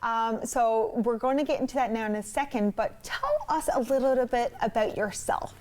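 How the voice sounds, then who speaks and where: Lady hosting a local talk show, brightish voice, super eager and affirming. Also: a little high, moderate pace. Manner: enthusiastic, affirming. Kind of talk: casual TV studio interview. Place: TV studio.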